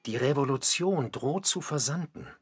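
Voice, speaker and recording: A man's voice: mid-range voice, animated, moderate pace, very noisy, natural voice. A man delivering scripted radio documentary narration.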